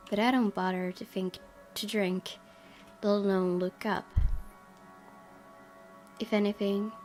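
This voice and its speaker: Woman reading a game aloud, music behind. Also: medium pitch. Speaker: gaming video host. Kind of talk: reading visual novel narration aloud, with casual commentary.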